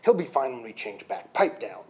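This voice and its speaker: A man voicing a hot-tempered, foul-mouthed young fighter who talks gruffly and surly. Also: mid-range voice, very animated, moderate pace, darkish tone, lightly acted.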